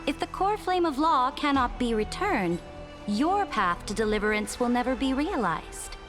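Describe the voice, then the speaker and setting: A woman's voice: on the high side, big pitch swings, moderate pace. A woman playing an imperious, pompous empress who speaks formally and commandingly in a dramatic video game. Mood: haughty, grand. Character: imperious, haughty female Imperator who calls allies her subjects. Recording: music behind the voice.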